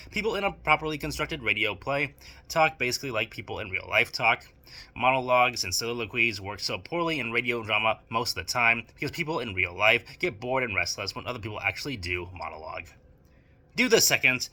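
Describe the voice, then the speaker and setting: Brisk, very bright-voiced man presenting scripted advice. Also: mid-range voice. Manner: formal, bookish, emphatic wording. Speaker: video presenter giving writing advice. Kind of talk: scripted instructional video essay.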